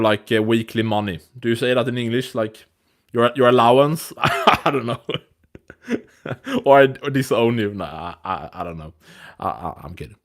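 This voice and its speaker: A man in his twenties hosting a casual live stream, talking to viewers in a rambling way with many restarts and fillers, into a phone. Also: mid-range voice. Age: 20s. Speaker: livestream host.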